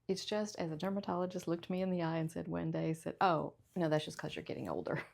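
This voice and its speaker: Chatty fifties woman with a gravelly edge. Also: fairly low pitch, fast talker, darkish tone. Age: 50s. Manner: chatty, laughs often, self-deprecating asides. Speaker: fashion vlogger. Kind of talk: casual try-on haul chat.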